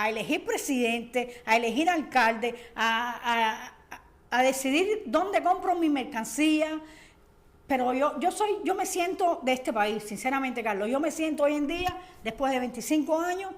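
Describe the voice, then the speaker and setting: An older woman from Cuba speaks with a Cuban Spanish accent in a high, clear-pitched voice. She talks earnestly and thoughtfully as an interview guest, pausing often with small hesitations as she gathers her words. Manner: earnest, reflective, with frequent hesitations. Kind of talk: conversational interview.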